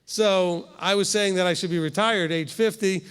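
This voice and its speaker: Fifty-something rabbi teaching in a hall. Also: male voice, high voice, normal speed, a bit bright, clean tone. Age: 50s. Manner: conversational, keeps asking "okay?". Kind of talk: Torah study teaching. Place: congregation hall.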